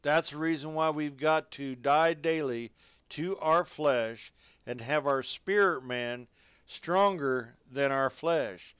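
Slow-paced man preaching, very clear voice. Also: fairly high pitch, fairly bright tone, no echo, clean studio sound. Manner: exhorting, addressing listeners directly as folks; folksy, colloquial phrasing. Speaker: radio preacher. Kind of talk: radio sermon with scripture reading.

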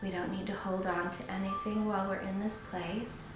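A woman leading a guided meditation in a soothing, unhurried manner, pausing between phrases. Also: medium pitch, flat delivery. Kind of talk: guided meditation, slow instruction. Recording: very echoey room with music. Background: meditation music.

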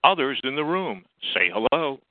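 An automated announcer on a phone chat line, reading flat recorded prompts. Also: mid-range voice, normal speed, no echo. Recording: dry tinny phone line.

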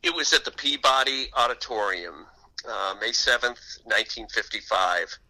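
A man's voice: lowish voice, expressive, moderate pace, sharp tone, rough recording. A man speaking as an interview guest and author, in a conversational storytelling style.

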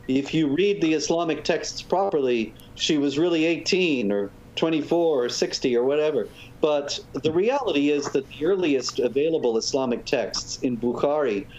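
A man, a guest author, explaining points in a measured way during a talk show interview. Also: fairly high pitch, a little mellow. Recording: dull lo-fi audio with faint noise.

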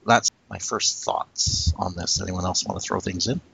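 Gravelly, husky man in earnest talk. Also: deep voice, steady tone, pushed and bright, low-quality recording. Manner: earnest, reflective, slows down to stress points. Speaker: podcast co-host. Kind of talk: casual discussion.

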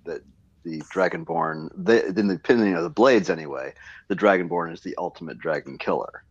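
A man's voice: low voice, a little fast, husky, no echo. A man on a podcast panel, chatting casually about a game on a dull lo-fi mic.

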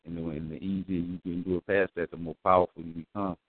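Deep-voiced man on a phone line. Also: level tone, quick pace, no echo. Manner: loose, emphatic street talk. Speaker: co-host of a call-in show. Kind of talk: casual spiritual chat. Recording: dry tinny phone line.

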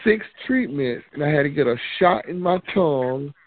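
A man's voice: on the high side, animated. Man rambling on a phone line. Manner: rambling, drawn-out storytelling. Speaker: caller. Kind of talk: casual phone chat. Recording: tinny phone line, faint hiss.

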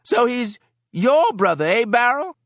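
A man's voice: extremely high pitch, sing-song, unhurried, fairly bright tone, no echo, clean studio sound, voice pushed up, heavily acted. A man voicing a tall, scornful, suspicious drinker itching for a fight, with an indignant drawl, in an audiobook. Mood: challenging. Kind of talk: audiobook character acting.

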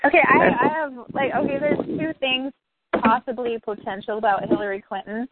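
A woman's voice: fairly high pitch, fairly even intonation, fairly quick, heavy background noise. A woman in her thirties in a casual political chat, blunt and opinionated, swearing freely. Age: 30s. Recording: tinny phone-like line, dry.

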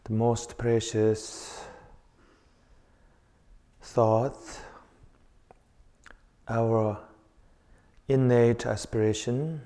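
A man leading a guided meditation in short, contemplative phrases with long pauses between them. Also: mid-range voice, steady tone, mellow. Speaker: meditation teacher. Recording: long reverberant hall echo.

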